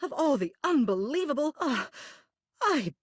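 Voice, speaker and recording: A woman's voice: sits high, very animated, unhurried, no echo, studio quality. A woman voicing a dignified, protective mayor in a video game, with formal, genteel diction and an authoritative manner. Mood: indignant, stern. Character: dignified, protective woman mayor, an old living household object. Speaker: voice actor in a dating sim game. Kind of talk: polished video game character acting.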